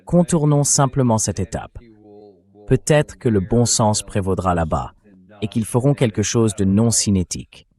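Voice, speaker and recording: Male host dubbing for a news interview, voice a bit on the low side. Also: animated, moderate pace, dark tone. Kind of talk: dubbed translation of a news interview.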